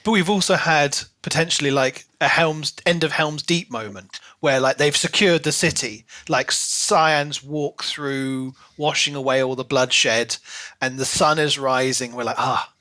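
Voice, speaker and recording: A man's voice: mid-range voice, a little slow, bright, forceful tone. A man co-hosting a podcast, talking informally and casually as he discusses a book. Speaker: podcast co-host.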